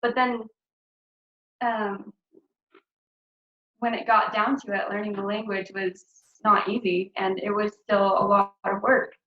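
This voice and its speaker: A woman's voice: medium pitch, level tone, unhurried, pauses often, slight background noise. A young woman recounting her missionary years in a chatty, casual way, full of ums and likes and light laughs, speaking over a video call. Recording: roomy, dull call audio.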